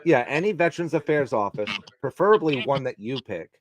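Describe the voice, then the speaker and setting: Measured, polite man on a stream. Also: mid-range voice, lively intonation, dull audio. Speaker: live stream panelist. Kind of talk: casual live stream chat.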